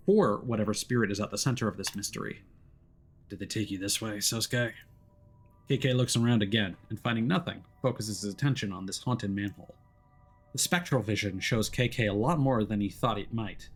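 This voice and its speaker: A man streaming a story game, reading its narration aloud in a dramatic storytelling style. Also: fairly low pitch. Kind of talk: dramatic narration of a visual novel.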